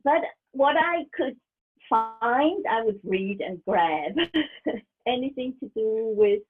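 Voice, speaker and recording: Slow, husky woman on a tinny call. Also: a little high, expressive. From Switzerland. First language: German. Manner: thoughtful, halting, often restarts and laughs softly. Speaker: medium, interview guest. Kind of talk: casual interview. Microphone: video call. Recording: tinny video call line.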